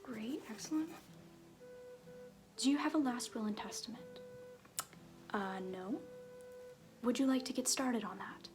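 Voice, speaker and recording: A woman playing an easygoing, slyly flirtatious circus fool in an ASMR roleplay, talking softly and hesitantly, often trailing into whispers. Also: a little high, expressive, a little slow, pauses often, music in the background. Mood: awkwardly reassuring. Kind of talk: ASMR roleplay, improvised-sounding casual talk.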